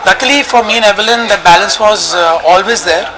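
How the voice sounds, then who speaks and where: A young man, an actor, speaking in a measured way with many fillers and light jokes in a press interview. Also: sits high, a crowd in the background, low-quality recording. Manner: measured, hesitant with fillers, joking. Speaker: actor promoting a film.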